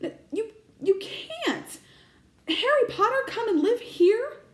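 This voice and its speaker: A woman's voice: very high voice, sing-song, slow pace, voice pushed up, heavily acted. A woman voicing a stern, anxious older witch professor from a storybook, exasperated and emphatic, faltering when upset, in an echoey room. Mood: distressed, indignant.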